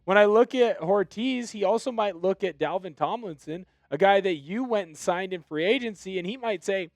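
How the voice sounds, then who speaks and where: A man hosting a solo sports news video, giving opinionated commentary that now and then bursts into animated excitement. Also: sits high, moderate pace, no echo.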